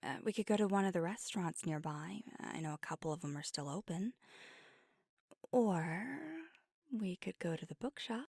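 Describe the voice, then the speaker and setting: A woman playing a flirty, teasing, affectionate vampire on a date in an intimate ASMR roleplay, speaking softly and often dropping to a whisper. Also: on the low side, slightly rough, no echo, clean studio sound. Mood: warm, flirty. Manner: playful, teasing, slow and intimate. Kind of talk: ASMR roleplay, intimate one-sided dialogue.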